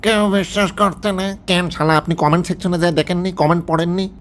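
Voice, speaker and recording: A man acts as a very fast-talking Bangladeshi interviewer in a comic phone-call sketch. He is exasperated and incredulous, scolding in colloquial speech with biting sarcasm. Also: high voice, fairly even intonation, fairly bright tone.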